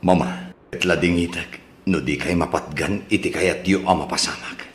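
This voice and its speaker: A man acting as a respectful, gentle grown son in a radio drama, with an Ilocano accent. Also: sits low, fairly even intonation, moderate pace, slight background noise, natural voice. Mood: pleading.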